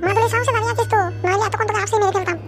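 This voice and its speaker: A woman's voice: very high-pitched, fairly even intonation, racing. A woman voicing a boastful but helpful, childlike ghost in a cartoon comedy, with an exclamatory delivery. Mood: boastful, threatening. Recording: music behind the voice.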